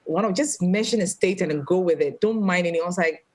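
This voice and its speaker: A woman from Ghana speaking as a guest in a casual panel chat, earnest and measured. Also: on the low side.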